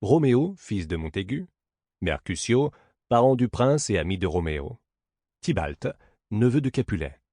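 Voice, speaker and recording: A male audiobook narrator reads a classic play in a measured, declamatory manner. His voice is fairly low and richly varied in intonation, captured dry and close with no room sound. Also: very animated, dark tone, no room sound.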